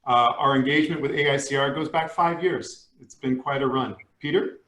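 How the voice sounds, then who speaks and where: A man's voice: mid-range voice, moderate pace, fairly bright tone. A man, hosting a webinar on a video call in a friendly, upbeat way with some restarts. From San Diego, USA. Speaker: coach and managing partner. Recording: echoey room.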